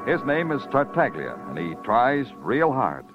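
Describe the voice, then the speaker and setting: An actor playing a world-weary, hard-boiled New York police detective in a 1950s radio drama, clipped and moody. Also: male voice, medium pitch, huge pitch swings, unhurried. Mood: brooding narration. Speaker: lead actor and narrator. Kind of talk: 1950s radio crime drama acting and narration. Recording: dull vintage radio recording with music.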